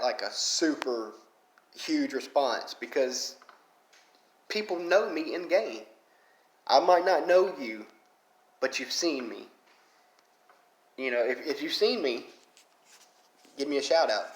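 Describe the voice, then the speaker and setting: A man's voice: medium pitch, roomy sound, low-quality recording. A man with a strong Southern Alabama accent, talking in a casual rambling monologue, full of "you know" fillers and getting fired up. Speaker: mobile game player.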